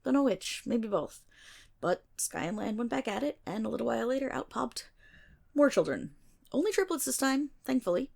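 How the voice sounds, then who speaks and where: A woman playing a nerdy, teasing best friend in an ASMR roleplay, rambling through myth with dramatic pauses and wry, animated asides. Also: a little high, gravelly, exaggerated voice. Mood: storytelling.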